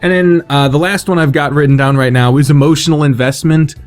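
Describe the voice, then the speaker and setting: A man's voice: on the high side, steady tone, a little fast. Sweary, self-deprecating man over background music. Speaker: video host announcing fan awards. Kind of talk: casual unscripted monologue to viewers.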